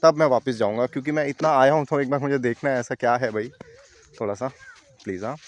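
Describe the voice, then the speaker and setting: Chatty travel vlogger from India. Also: male voice, mid-range voice, fast talker, slight background noise. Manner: chatty, talks directly to viewers. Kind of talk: casual travel vlog narration. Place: outdoors on a mountain trail.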